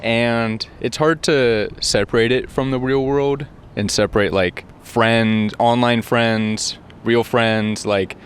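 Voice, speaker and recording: A man interviewed as a content creator, talking in a low-energy, monotone way. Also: slow pace, few pauses, slight background noise. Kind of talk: casual interview.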